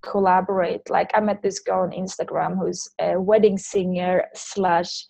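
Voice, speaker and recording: A woman's voice: lowish voice, little pitch movement, a little slow, creaky, darkish tone, no room sound. A woman from Sweden, speaking loosely phrased second-language English as a guest in a casual interview. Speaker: interview guest, online language teacher and entrepreneur.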